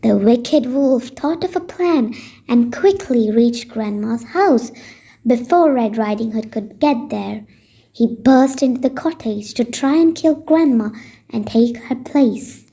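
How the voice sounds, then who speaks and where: A woman's voice: high-pitched, mellow, husky. A woman storyteller reading a scripted bedtime story for children.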